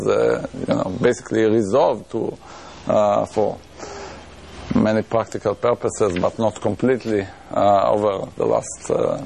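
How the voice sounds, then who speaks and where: A man's voice: lowish voice, creaky, darkish tone, a little hiss or hum. A middle-aged man with an Israeli accent giving a lecture in a room, thinking aloud with many restarts and fillers. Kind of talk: academic lecture on math.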